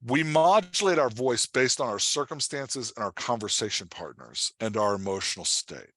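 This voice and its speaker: A man's voice: mid-range voice, lively intonation, moderate pace, a bit bright, no echo. A man teaching as a writing coach in an informal, conversational webinar style, explaining things and often checking in with his listeners.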